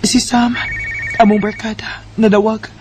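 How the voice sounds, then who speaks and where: Actress as high-voiced, heartbroken young Bisaya woman. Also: little pitch movement, measured pace, noisy background, natural voice. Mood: subdued. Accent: Filipino, Bisaya. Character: heartbroken young woman Mika, soft and pleading. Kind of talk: Bisaya radio drama acting.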